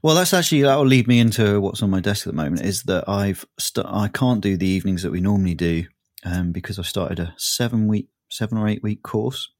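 A man's voice: low-pitched, darkish tone, no echo, studio quality. A man with a sore, throaty voice, chatting casually as a podcast co-host, rambling and often laughing. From southern England. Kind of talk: casual chat.

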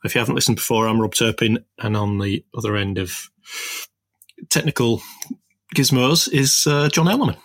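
A man's voice: medium pitch, normal speed, a bit bright, dry recording. A man hosting a podcast in casual chat, with a dry, teasing manner. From northern England. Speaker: podcast host.

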